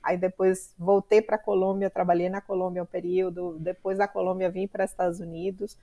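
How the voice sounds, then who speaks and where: A middle-aged woman from Colombia with a Colombian Spanish accent, talking as a podcast guest in an animated way, often pausing with fillers to find her words. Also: on the low side. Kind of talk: conversational interview.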